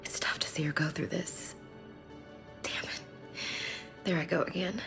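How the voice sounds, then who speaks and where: A woman's voice: sits low, a little slow, in a whisper. A woman playing a tough but tender soldier and protective sister in a video game, speaking in a subdued, restrained way. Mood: holding back tears. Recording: background music under dialogue.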